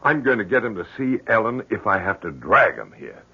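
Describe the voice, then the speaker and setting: A stubborn, worried father in a vintage radio play. The voice is low and husky, halting yet insistent, and heavily muffled by the recording. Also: big pitch swings, full character voice. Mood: stubborn, agitated. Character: worried, stubborn middle-aged father of a grown daughter. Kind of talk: old-time radio drama acting.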